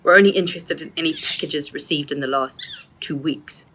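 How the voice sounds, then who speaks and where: A woman voicing a stern, brusque male police officer in a children's storybook, with a sharp, commanding manner. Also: low-pitched, normal speed, noisy background, natural voice. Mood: stern, warning.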